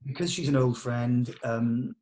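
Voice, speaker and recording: A man's voice: medium pitch, unhurried, warm tone. Man directing a script read-through. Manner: explanatory, directive. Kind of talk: casual script discussion with actors.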